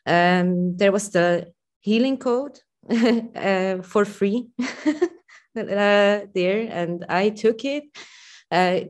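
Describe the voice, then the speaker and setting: A woman with a Swiss accent calling in to share a personal story, hesitant and full of pauses and fillers. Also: mid-range voice. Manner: hesitant, many pauses and fillers, soft laughs. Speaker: caller. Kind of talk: personal testimony on a call-in.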